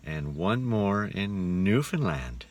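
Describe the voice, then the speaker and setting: Male travel vlogger, low voice, casual delivery, clearly noisy on-location sound. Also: big pitch swings, slow. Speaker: travelling musical entertainer and vlogger. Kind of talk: casual travel vlog narration. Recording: noisy on-location sound, road and ambient noise.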